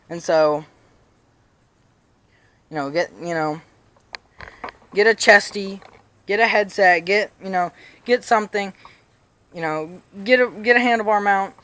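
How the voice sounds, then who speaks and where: A young man talks through bike tips in a loose, rambling way, stacking fillers and restarting his sentences. His voice sits a little high and is slightly raspy, and he sounds casual. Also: unhurried, pauses often, pushed and bright. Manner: rambling, full of "you know" fillers and restarts. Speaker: BMX vlogger. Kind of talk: casual how-to vlog. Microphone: chest-mounted action camera.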